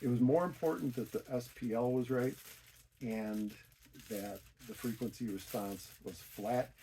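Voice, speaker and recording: A man's voice: mid-range voice, a little slow, dark tone, slight background noise, rough recording. A middle-aged man giving a casual, unscripted DIY explanation, thinking aloud in a rambling, self-deprecating way. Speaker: DIY hi-fi hobbyist presenter.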